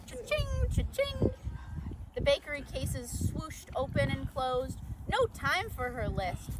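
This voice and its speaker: High-voiced woman reading a children's story outdoors. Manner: expressive, acts out sound words and dialogue. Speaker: volunteer storyteller. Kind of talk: children's read-aloud storytelling. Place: outdoors at an orchard event. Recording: noisy outdoor recording with ambient sounds. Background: outdoor ambience and background noise.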